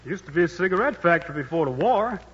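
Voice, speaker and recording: An actor playing a simple, shy young back-country corporal in a 1940s radio drama. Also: male voice, high voice, animated, fast talker, fairly bright tone, husky, exaggerated voice. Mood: plain, friendly. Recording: dull old 1940s radio recording.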